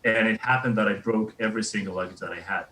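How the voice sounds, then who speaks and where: A man with an Israeli accent answering interview questions as a remote guest, speaking somewhat haltingly. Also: fairly low pitch, bright, forceful tone, a little hiss or hum, low-quality recording. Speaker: interview guest, industrial designer.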